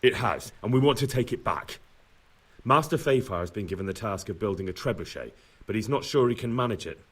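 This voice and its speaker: An actor playing an earnest, respectful young medieval messenger in a video game, speaking politely and directly. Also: male voice, on the low side, lively intonation, brisk, a bit bright, slightly rough, far from the mic. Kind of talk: video game cutscene acting.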